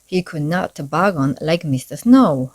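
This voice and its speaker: A woman reads a children's storybook aloud at a slow, measured pace. Her voice sits a little low, and her pitch swings widely as she shapes each phrase expressively. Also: lively intonation, a little mellow.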